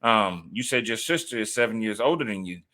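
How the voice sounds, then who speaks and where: A man's voice: on the low side, expressive, brisk. A man hosting an interview, affirming and relating to his guest in casual talk. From Texas. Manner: warm, affirming, relates personally. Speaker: interview host.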